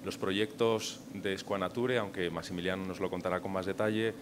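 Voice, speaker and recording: A man's voice: sits low, noisy background. A man moderating a conference, introducing the speakers formally but with hesitant fillers and restarts. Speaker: event moderator.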